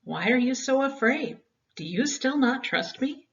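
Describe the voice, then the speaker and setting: Woman reading as commanding storybook man, calm authority, deliberate delivery, dull distant recording. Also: sits high, voice pushed up. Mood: gentle reproach.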